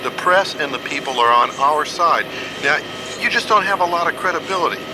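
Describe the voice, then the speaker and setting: A man's voice: fairly high pitch, expressive, brisk, lightly acted. A man playing a slick, exasperated government negotiator in a satirical radio play, cajoling and businesslike. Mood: placating. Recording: radio play with loud aircraft noise.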